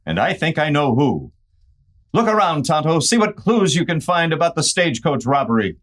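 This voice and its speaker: A man's voice: a little high, sing-song, moderate pace, fairly bright tone. A man playing a resolute, upright masked hero in a western radio play, earnest and commanding. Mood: urgent.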